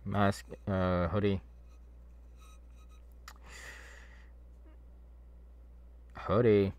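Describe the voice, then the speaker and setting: A man playing a demon's masked henchman in an ASMR horror roleplay, chatty and halting, with mock-soothing menace. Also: deep voice, little pitch movement, a little mellow. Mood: smug, teasing. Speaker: ASMR voice actor.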